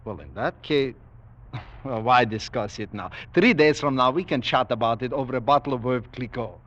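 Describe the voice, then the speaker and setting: Male actor as sinister gentleman hunter, suave general, polite menace, soft chuckles, middling pitch, dull vintage radio recording. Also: moderate pace, close to an everyday voice. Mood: relishing, courtly. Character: suave, sinister aristocratic general who hunts men for sport. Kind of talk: 1940s radio acting.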